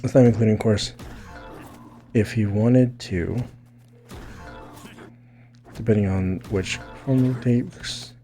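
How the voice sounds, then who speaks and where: Low voiced guy doing a casual fighting game tutorial, really mellow and dark tone, kinda rambling as he thinks out loud. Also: slow pace, pauses often, soft background music. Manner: rambling, thinks aloud, tags points with "right?". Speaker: gaming video creator explaining techniques.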